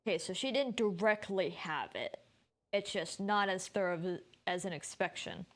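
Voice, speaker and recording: A woman's voice: on the low side, animated, normal speed, fairly bright tone. A woman streamer giving informal, casual live commentary on a game, with some mild swearing.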